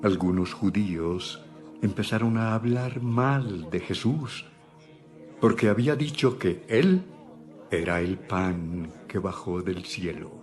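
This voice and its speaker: Deep-voiced guy narrating an audio bible, unhurried, music going behind him. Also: darkish tone. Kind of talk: scripted dramatized Bible narration.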